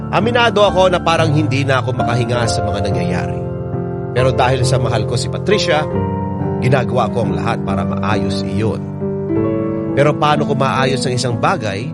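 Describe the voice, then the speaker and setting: A man's voice: medium pitch, close to an everyday voice. A man with a Filipino accent playing an earnest, hurt young boyfriend in a radio drama. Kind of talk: radio drama acting with first-person narration. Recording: music bed under the voice.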